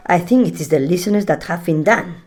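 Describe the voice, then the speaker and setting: A woman's voice: lowish voice, a little fast, darkish tone. A woman bantering sarcastically as a heckling actress in a comic radio play.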